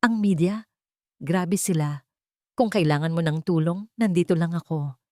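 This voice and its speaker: A woman's voice: lowish voice, measured pace, dark tone, dry recording, clean studio sound, close to an everyday voice. A woman voicing a scheming female rival in a narrated drama, insincere, condescending and insinuating. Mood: fake pity.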